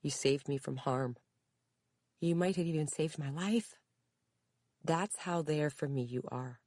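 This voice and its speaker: A woman's voice: deep voice, measured pace, warm tone, no room sound, clean studio sound. A woman reading aloud, voicing an adult daughter who tearfully reassures her mother. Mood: earnest. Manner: emotional, reassuring.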